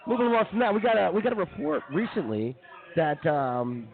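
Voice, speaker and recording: A man hosting a live comedy show, running through news stories in a casual, halting, chatty way. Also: high-pitched, very animated, phone-quality sound, a crowd in the background. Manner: halting, informal, chatty delivery.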